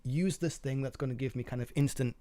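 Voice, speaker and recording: A man's voice: mid-range voice, brisk, dark tone, no echo. A man chatting casually as a co-host, arguing his opinions and often checking in with a rhetorical question. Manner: opinionated, argumentative, punctuated with rhetorical "right?". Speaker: show co-host. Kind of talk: casual chat and commentary on gaming news.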